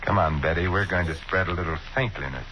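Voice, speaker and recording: An actor playing a confident, clipped adventurer detective in a 1940s radio drama. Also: male voice, low-pitched, quick pace, natural voice. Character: suave male adventurer detective. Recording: muffled old radio broadcast with hiss.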